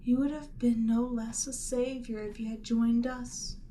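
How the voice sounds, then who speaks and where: A woman voicing a seductive, imperious demon queen in an audio roleplay, speaking deliberately and theatrically, with breathy near-whispered phrases. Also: a little high, steady tone, warm tone, a little hiss or hum, close to an everyday voice. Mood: bitter, indignant. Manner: slow, deliberate, theatrical, persuasive. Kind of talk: roleplay audio drama, ASMR monologue.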